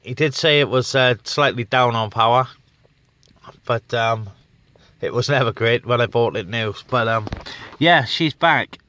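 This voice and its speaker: A man chatting on a CB radio net from inside his parked vehicle, pausing often on fillers. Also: medium pitch, bright, forceful tone. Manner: chatty, with many filler pauses. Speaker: CB radio operator. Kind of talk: casual radio chat and vlog talk.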